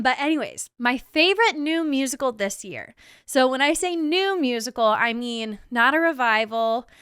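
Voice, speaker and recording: Young woman, high voice, smooth and clear, chatty podcast host, gushing. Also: a bit bright, no echo, clean studio sound. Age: 20s. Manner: gushing, chatty, heavy use of "like". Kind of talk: casual solo podcast chat.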